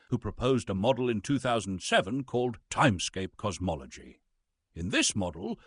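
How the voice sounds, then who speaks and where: A man playing a pompous, disgruntled actor who narrates science scripts theatrically, with a husky voice and dramatic emphasis. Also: mid-range voice, fairly bright tone, no echo, studio quality, exaggerated voice. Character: pompous, disgruntled male Shakespearean actor reading physics lectures.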